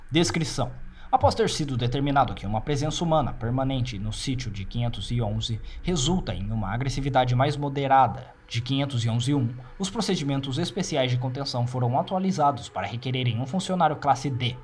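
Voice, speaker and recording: Male narrator, Brazilian accent, mid-pitched, measured and formal, for clinical horror-fiction files. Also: expressive, a little hiss or hum. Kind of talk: scripted narration of clinical report.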